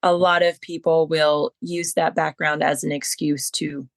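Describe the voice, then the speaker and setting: A woman's voice: low-pitched, level tone, a little slow, no echo. Female podcast interviewer, conversational and loosely phrased, recorded dry. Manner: loosely phrased, winding questions. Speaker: podcast host. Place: remote online call.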